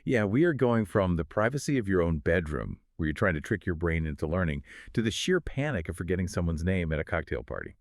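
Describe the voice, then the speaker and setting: A man co-hosting a podcast, explaining ideas in a measured, scripted conversational way. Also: deep voice, big pitch swings, no room sound, clean studio sound. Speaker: podcast co-host.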